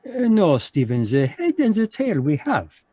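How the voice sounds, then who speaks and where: A man's voice: fairly high pitch, huge pitch swings, a little slow, dark tone, breathy edge, no room sound, full character voice. A man playing a fussy Belgian detective with a French-flavored accent and formal phrasing, in a live radio play over video call. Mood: knowing. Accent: French-flavored English, put on. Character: fussy Belgian male detective, precise, commanding.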